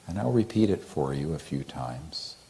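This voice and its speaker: A man gently and calmly leading a guided meditation as a teacher, in a soothing way. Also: a bass voice, moderate pace.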